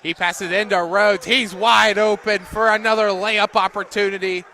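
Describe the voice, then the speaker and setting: A man's voice: high voice, fairly even intonation, normal speed, sharp tone, a little hiss or hum. A man calling a live basketball game on radio as the play-by-play announcer.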